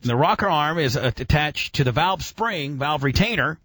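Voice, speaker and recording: A man's voice: fairly high pitch, expressive, moderate pace, a bit bright, dry recording. A man hosting a casual radio show, explaining and quizzing with an enthusiastic, profane, teacherly manner.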